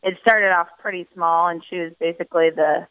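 A woman's voice: on the low side, fairly even intonation, fairly quick, clean tone, no echo. A young woman from Pennsylvania, a singer, chatting casually as an interview guest with lots of laughs and restarts over the phone. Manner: chatty, giggly, many restarts. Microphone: telephone. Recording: tinny phone line.

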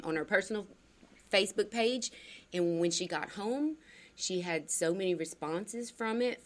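Female radio talker, lowish voice, conversational, enthusiastic. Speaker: radio show speaker. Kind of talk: casual conversational talk. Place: radio studio.

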